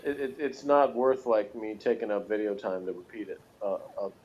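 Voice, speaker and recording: A man's voice: lowish voice, normal speed, darkish tone. Dull-sounding lo-fi recording of a teasing man. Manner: teasing, jokey. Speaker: review show co-host. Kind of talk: casual joking chat.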